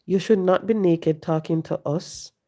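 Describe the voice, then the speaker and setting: A woman, hoarse and congested from the flu, talking on a casual live stream, preaching and repeating her points to viewers from home. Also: fairly low pitch, unhurried, warm tone. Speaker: livestream host. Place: home, sitting.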